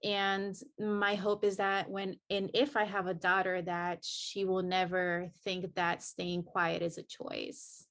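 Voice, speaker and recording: A woman's voice: on the low side, completely flat delivery, slow pace, hardly any pauses, a bit bright. A woman from El Salvador, a podcast guest, earnestly and reflectively telling her personal story of healing. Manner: earnest and reflective, many restarts.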